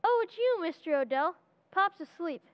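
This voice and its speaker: Woman acting very high-voiced young boy. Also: animated, normal speed, no room sound, highs cut off. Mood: offhand. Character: casual, dismissive young son. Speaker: radio drama actor. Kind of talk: old-time radio comedy acting.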